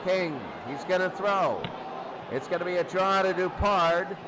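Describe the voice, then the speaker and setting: A man's voice: high-pitched, a little slow. A man doing informal football play-by-play, thinking aloud as he calls the game. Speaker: play-by-play announcer. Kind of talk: American football radio-style commentary. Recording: loud crowd and noise behind. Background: stadium crowd roar.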